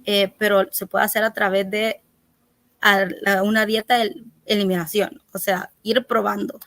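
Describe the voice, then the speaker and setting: A woman who is a holistic pet health coach, explaining in a relaxed webinar chat with many fillers. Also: mid-range voice, moderate pace, no echo. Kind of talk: informal webinar talk.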